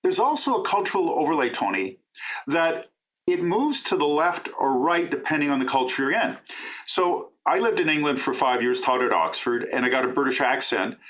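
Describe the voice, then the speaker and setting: A middle-aged man from Canada, a professor and author, answering interview questions in a talkative, enthusiastic way with quick asides. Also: on the high side, lively intonation, a bit bright.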